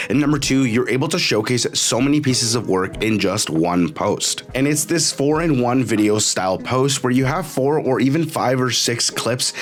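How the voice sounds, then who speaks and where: A young man with a raspy voice from getting over the flu, talking viewers through a video editing tutorial in an upbeat, direct way. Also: medium pitch. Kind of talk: scripted tutorial talk.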